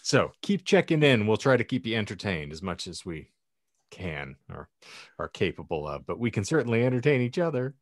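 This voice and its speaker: A man with a low-pitched voice hosts a comedy podcast in a dry, close-sounding recording. His delivery swings up and down a lot, and his phrasing is ornate and mock-formal. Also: sing-song, fairly quick, no room sound. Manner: mock-formal, flowery wording. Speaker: podcast host. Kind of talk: comedic podcast banter and sign-off.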